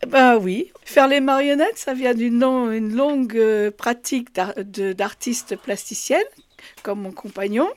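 Female artist guest, higher voice, casual, halting explanations with repeated words. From France. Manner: hesitant, often repeating words as she explains. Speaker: puppet maker and artist, interview guest. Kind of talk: casual interview.

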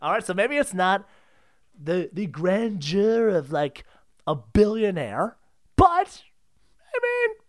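A man's voice: sits high, wildly swooping pitch, slow, long pauses, no echo, studio quality. A man co-hosting a comedy podcast in casual banter, theatrical, exaggerated and given to mock indignation.

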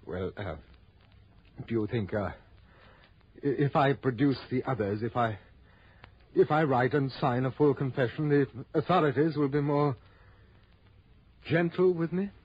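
Fussy, flustered art dealer, muffled recording. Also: male voice, medium pitch, a little slow, pauses often, slightly rough. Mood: nervous, defeated. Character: fussy, polite, crooked male art dealer, easily flustered. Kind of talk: 1960s radio drama acting. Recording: muffled, noisy old radio recording.

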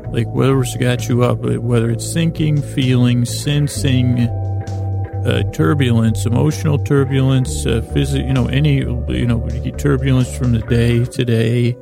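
Creaky-voiced man, lulling flat monologue. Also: medium pitch, over music. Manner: lulling, soothing, calm; hesitant, halting, circles back and repeats himself. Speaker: host of a sleep podcast. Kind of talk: meandering, rambling bedtime monologue.

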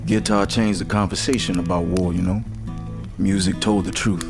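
A man's voice: sits low, steady tone, moderate pace. A man playing an earnest guitar-playing sidekick in an audio drama, reflective and a bit rambling. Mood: musing. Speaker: actor in audio fiction. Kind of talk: serialized audio drama acting. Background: plucked guitar music.

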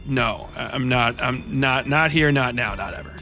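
A man's voice: mid-range voice, normal speed, pushed and bright, faint music behind. A man hosting a podcast, speaking casually and irreverently in a loose, rambling, sweary style. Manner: irreverent, profane, informal. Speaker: podcast host.

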